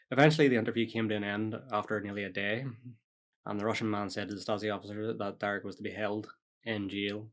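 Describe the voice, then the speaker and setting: A man hosting a podcast, reading out a prepared story in a casual way with offhand asides. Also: lowish voice. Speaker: podcast host telling true-or-false stories.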